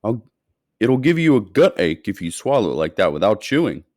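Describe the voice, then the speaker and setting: A man voicing an excitable, kindly fat male shopkeeper who shouts with delight, in a storybook reading. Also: lowish voice, moderate pace, no echo, studio quality. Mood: pleasant.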